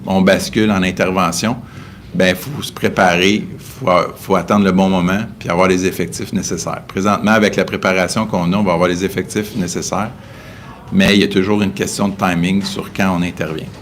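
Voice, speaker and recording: A man with a Québécois French accent answering press questions as a senior police official, in a measured, hesitant, official manner. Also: sits low, steady tone, slight background noise. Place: indoor press briefing.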